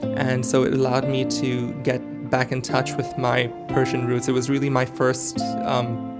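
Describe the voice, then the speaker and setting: A young man, a singer, giving a reflective personal statement in an earnest, articulate way with occasional filler pauses. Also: mid-range voice, completely flat delivery, over loud music.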